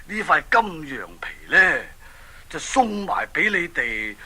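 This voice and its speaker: A man's voice: on the high side, very animated, sharp tone, full character voice. An actor with a Hong Kong Cantonese accent playing a kindly, sighing old king in a children's radio drama. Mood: jovial, proud. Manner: slow, sighing, theatrical. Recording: hissy 1980s cassette recording.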